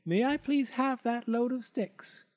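Man as little storybook sow, piping, quivering, timid, polite, high-pitched, animated. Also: moderate pace. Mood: pleading. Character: little female pig, timid, polite, frightened but stubborn.

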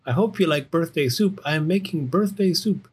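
A man's voice: on the high side, moderate pace, breathy edge, pitched above the performer's own voice. A man voicing an eager, innocent little bear cub in a children's storybook, with childlike, earnest delivery. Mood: friendly, eager.

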